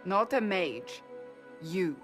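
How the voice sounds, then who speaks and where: A woman playing a resolute, earnest warrior in a fantasy game, speaking in a solemn, measured, emphatic way. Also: lowish voice, lively intonation. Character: resolute, earnest female warrior counselling a leader. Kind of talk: dramatic fantasy game dialogue. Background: music.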